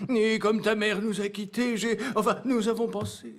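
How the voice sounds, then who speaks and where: A man voicing a fond, hesitant old king in a French cartoon dub, speaking haltingly. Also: sits high, steady tone. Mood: fond, flustered.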